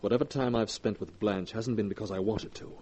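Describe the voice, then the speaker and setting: An actor playing a suave, calculating grandson and two-timing lover in 1940s radio drama style. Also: male voice, lowish voice, brisk, mellow, lightly acted. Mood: smooth, placating. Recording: noisy old 1940s radio recording.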